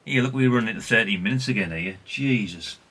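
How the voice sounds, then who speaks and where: Bright, husky middle-aged British man. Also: lowish voice, fast talker. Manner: chatty, rambling, self-correcting, tag questions. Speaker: hobbyist video host. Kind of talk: casual rambling show-and-tell.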